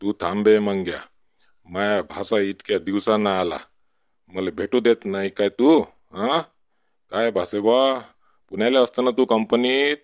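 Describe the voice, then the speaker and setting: A man's voice: lowish voice, fairly even intonation, unhurried, long pauses, no room sound, natural voice. A man playing a pushy, boastful drunkard uncle in a village cartoon, rambling and cajoling with a Varhadi Marathi accent. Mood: pushy, familiar. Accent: Varhadi Marathi (Vidarbha). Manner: rambling, cajoling, repetitive tag questions. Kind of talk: comic village cartoon dialogue.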